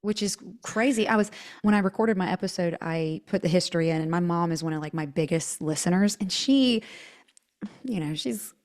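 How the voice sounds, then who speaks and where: An outspoken woman hosting a casual podcast chat, her voice sitting a little low. She talks freely, laughs often and sometimes trails off mid-thought. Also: fairly quick, darkish tone. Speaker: podcast host.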